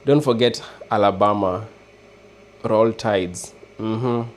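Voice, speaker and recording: A man with a Kenyan accent chatting casually with viewers on a livestream, hesitant and halting, often restarting words. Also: on the low side, a little hiss or hum. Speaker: livestream host. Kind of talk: casual live chat with viewers.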